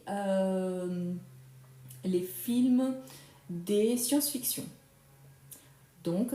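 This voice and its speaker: A young woman whose first language is Brazilian Portuguese, teaching a live French lesson slowly and repeating phrases for learners. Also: mid-range voice, mellow, echoey room.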